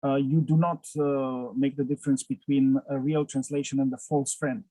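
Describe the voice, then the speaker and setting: A man's voice: medium pitch, level tone, moderate pace, warm tone, dry recording. A man from Romania with a Romanian accent, a non-native English speaker, talking over a video call and leaning on frequent "uh" fillers.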